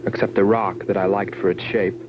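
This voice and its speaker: A pensive space explorer narrating his journey. He is measured and inward-looking, in the manner of 1960s science-fiction film. The soundtrack is muffled, with very loud noise throughout. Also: low-pitched, little pitch movement. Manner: reflective, measured. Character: pensive male astronaut explorer recalling an alien sea.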